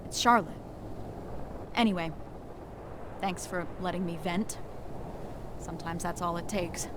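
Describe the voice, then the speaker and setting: An actress playing a blunt, intense resistance fighter in a dramatic video game. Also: female voice, fairly low pitch, fairly even intonation, unhurried, background noise, lightly acted. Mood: hurt, confiding. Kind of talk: dramatic cutscene acting.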